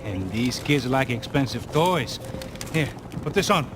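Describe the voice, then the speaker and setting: An actor playing an urgent, streetwise security partner with a slangy, brotherly manner in a video game. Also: male voice, medium pitch, sing-song, a little slow, background noise. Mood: wry, brisk. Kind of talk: video game cutscene acting. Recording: loud music over the voice.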